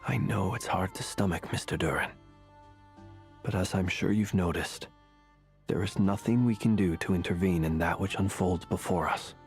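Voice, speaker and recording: An actor playing a solemn, courteous, centuries-old gentleman in a video game, speaking husky, measured, formal lines. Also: deep voice, soft background music. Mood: courteous, calm. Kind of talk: video game character acting.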